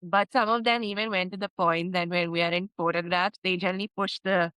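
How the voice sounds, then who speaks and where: A woman's voice: lowish voice, fairly even intonation, fairly quick, pushed and bright, smooth, no room sound, rough recording. Chatty woman co-hosting a podcast. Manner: chatty, thinking aloud with fillers. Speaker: podcast co-host. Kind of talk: casual conversation.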